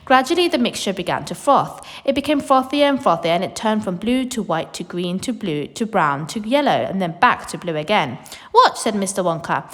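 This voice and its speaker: A woman reads a children's storybook aloud with lively, swooping intonation. Her delivery is theatrical and expressive, and the recording carries a long hall-like reverb. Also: mid-range voice, a little fast, few pauses. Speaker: storybook reader. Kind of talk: expressive read-aloud narration of a children's book.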